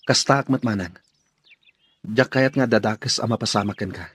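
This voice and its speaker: A man's voice: lowish voice, moderate pace, fairly bright tone, husky. A man playing an earnest, respectful young fighter in a radio drama, speaking with deference. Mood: respectful, grateful.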